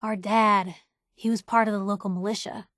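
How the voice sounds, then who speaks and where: A woman's voice: mid-range voice, fairly even intonation, moderate pace, a bit bright, no room sound, clean studio sound, close to an everyday voice. An actress playing a feisty, wisecracking young woman reporter in a video game, brash and sardonic in a conversational way. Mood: candid. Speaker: game companion character, a reporter. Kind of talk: acted video game dialogue.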